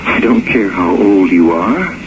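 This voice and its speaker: Radio romance-mystery role: insistent, pleading older admirer, animated pitch, noisy vintage broadcast. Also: male voice, measured pace. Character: lovesick aging man pleading with a beloved. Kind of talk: 1970s radio drama acting. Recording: muffled, noisy old radio recording.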